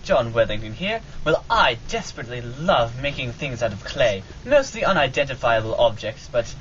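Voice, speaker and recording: An earnest, slightly hapless airship officer played by a man in an old-time radio spoof, speaking with stagey assurance at a middling pitch. The amateur recording is dull and a little noisy. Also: sing-song, moderate pace, a bit bright. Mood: earnest, sheepish.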